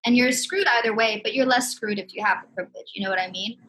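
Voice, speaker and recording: A woman's voice: fairly high pitch, fast, sharp tone, a little hiss or hum, poor audio. A woman from Sudan, a guest speaking candidly and with feeling in a casual conversation. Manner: candid, heartfelt, many "you know what I mean".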